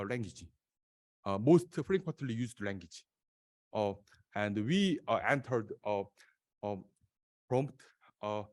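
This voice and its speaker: Korean-accented man giving lively conference talk. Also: medium pitch. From South Korea. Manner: halting, many filler sounds and restarts. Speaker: conference speaker. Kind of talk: conference talk presenting slides. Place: auditorium.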